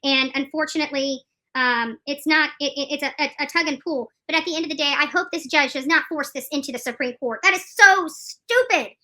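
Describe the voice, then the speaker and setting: A woman giving live, opinionated legal commentary, emphatic and halting, repeating phrases for stress and often restarting her sentences. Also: sits high, sharp tone. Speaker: streamer commenting on court news.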